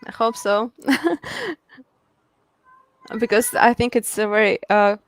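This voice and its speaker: A woman with a Latvian accent, a guest on a video call interview, chatting casually with frequent laughs and filler pauses. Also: medium pitch, flat delivery, clear, resonant tone, dry recording. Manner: laughs often, hesitant with fillers. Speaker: guest artist.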